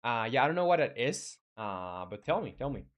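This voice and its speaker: A young Chilean man, Spanish his first language, talking loosely to his stream viewers while he codes. His voice sits at an ordinary middle pitch, and his sentences often stall, restart and fill with "uh" and "like.". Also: sing-song, highs cut off. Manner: halting, many restarts and fillers. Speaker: game developer streamer. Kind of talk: casual live-stream chat reading viewer comments.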